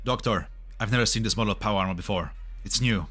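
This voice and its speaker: A man's voice: fairly low pitch, fast talker, fairly bright tone, faint music behind. A man playing an earnest, analytical tech specialist in a game fan dub, protective and precise as he explains. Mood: alert, tense. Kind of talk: scripted anime game dub acting.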